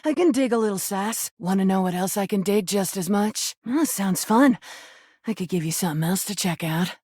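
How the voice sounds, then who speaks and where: A woman's voice: mid-range voice, moderate pace, darkish tone, breathy edge, dry recording, studio quality, natural voice. A woman voicing a crude, flirty, mocking rubber duck come to life in a video game. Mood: cheeky, flirty. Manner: sassy, mocking, combative, suggestive. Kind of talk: video game character dialogue.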